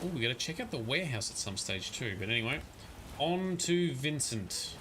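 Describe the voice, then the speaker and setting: A man's voice: medium pitch, big pitch swings, normal speed, noisy background. A man giving casual, chatty commentary on a livestream while playing a game and talking to his viewers.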